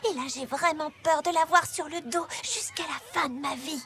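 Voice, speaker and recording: A woman voicing a bright, theatrical little girl in an animated cartoon, speaking expressively and articulately. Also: high-pitched, moderate pace, very noisy, natural voice. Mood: exasperated. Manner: expressive, dramatic. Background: music.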